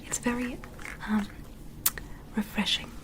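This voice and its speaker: A woman playing a curious, gentle alien doctor in a whispered ASMR medical roleplay, softly reassuring and patient as she gives instructions. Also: fairly high pitch, level tone, slow pace. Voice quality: breathy, unvoiced whisper. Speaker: ASMR performer.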